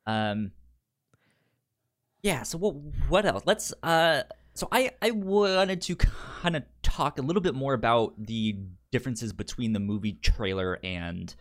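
Male podcast co-host, mid-pitched voice, casual film chat, halting delivery, repeated words and stammers. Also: lively intonation.

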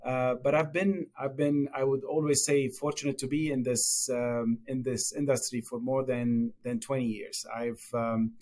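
A middle-aged man from Lebanon with a Lebanese accent, answering as a guest on a podcast interview, speaking haltingly with many fillers and restarts. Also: mid-range voice, steady tone, a little mellow. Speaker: pharmaceutical company executive, guest.

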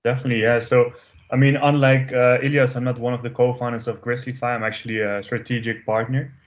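Flat-voiced man, lo-fi. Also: medium pitch, fast talker. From Amsterdam, Netherlands. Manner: relaxed, hesitant with many fillers. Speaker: podcast guest, growth marketer. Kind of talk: casual interview talk. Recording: dull lo-fi remote audio.

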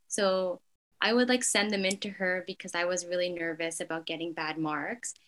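Young woman interviewee, slightly low voice, hesitant and filler-heavy. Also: fairly even intonation, moderate pace. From Canada. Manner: frequent "like" fillers, soft and hesitant. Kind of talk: casual reflective interview.

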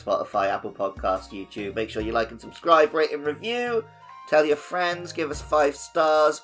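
A man with a medium-pitched voice co-hosts a casual gaming podcast. He talks conversationally, with some music faintly behind him. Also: a bit bright. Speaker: podcast co-host and editor.

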